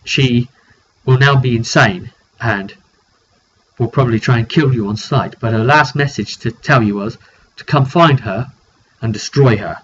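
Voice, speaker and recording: A man's voice: mid-range voice, unhurried, fairly bright tone. A man informally recounting a fantasy roleplay session as game master, dramatic and repeating phrases for emphasis. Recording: dull lo-fi recording, clear background noise.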